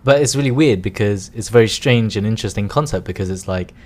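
Animated man co-hosting a chat. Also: on the low side, quick pace. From United Kingdom. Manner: animated, laughs often, teasing, many fillers. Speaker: podcast co-host. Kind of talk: casual chat.

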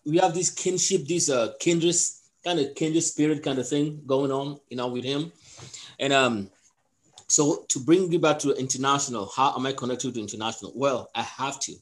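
A man from Cameroon who coaches a soccer team, talking casually on a podcast and often restarting his sentences. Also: fairly high pitch. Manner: rambling, with many restarts and fillers.

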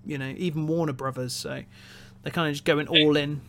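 A man with a bright, keen-edged voice chats as co-host of a casual review podcast. He thinks aloud, often restarting and repeating words before finishing a point. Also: fairly high pitch, fairly even intonation, fairly quick. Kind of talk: casual film review discussion.

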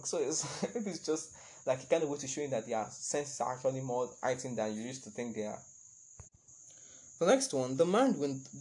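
A man's voice: a little high, a little mellow, rough recording. A young man hosting a casual solo podcast, chatty and rambling, laughing at his own remarks. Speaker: podcast host.

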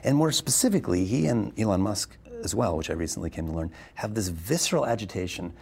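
Fast-talking gravelly low-voiced man. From Arizona, USA. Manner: fast, animated talker with quick restarts. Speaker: interview guest, venture capitalist. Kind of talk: casual interview answers.

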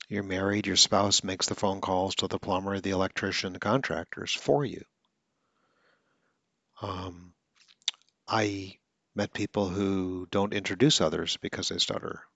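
A very deep-voiced man talks through a coaching podcast in an earnest way, with occasional stutters and restarts. The sound is dry and close, with a slightly dull, lo-fi quality. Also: fairly even intonation, unhurried, creaky, long pauses, a bit bright, no echo. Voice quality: stutters now and then. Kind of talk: instructional podcast monologue.

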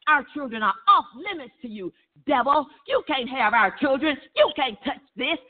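High-voiced woman praying fervently over phone line. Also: sing-song, moderate pace, very noisy. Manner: impassioned, repetitive, chant-like exhortation. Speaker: prayer leader. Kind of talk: fervent charismatic intercessory prayer. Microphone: telephone. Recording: narrow, tinny phone prayer line.

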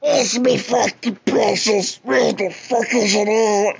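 A croaking, gravel-throated creature that drags every word out and whines for its lost treasure. The game audio is muffled and lo-fi, with steady noise underneath. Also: male voice, sits high, exaggerated voice. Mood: frantic. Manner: drawn-out, whining, cursing demands.

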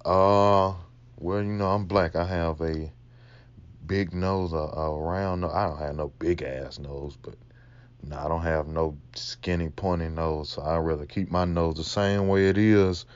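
A young man with a Mississippi accent, hosting a casual solo Q&A, talking slowly in a laid-back, mumbling way. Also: fairly even intonation, smooth, dry recording, muffled recording. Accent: Mississippi Southern US. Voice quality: deep voice. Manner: slow, laid-back, mumbling, laughs often. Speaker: podcast host.

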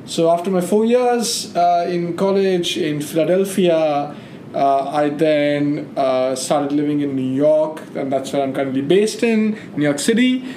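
A young man, talking casually as a podcast guest with plenty of fillers and restarts. His voice sits on the higher side for a man. The room is echoey, with a faint hiss of noise underneath. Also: a little slow, mellow. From India. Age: 20s. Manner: chatty, lots of fillers and restarts. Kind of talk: casual conversation.

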